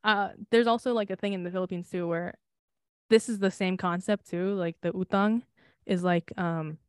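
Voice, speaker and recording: A woman's voice: lowish voice, fairly even intonation, moderate pace, darkish tone, clean tone, dry recording. Candid young woman, dry close sound. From United States. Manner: candid and rambling, swearing and laughing often. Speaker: podcast co-host. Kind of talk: casual chat.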